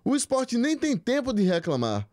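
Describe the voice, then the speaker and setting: A man narrating a sports news report in a lively, scripted style. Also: very high voice, normal speed, no room sound.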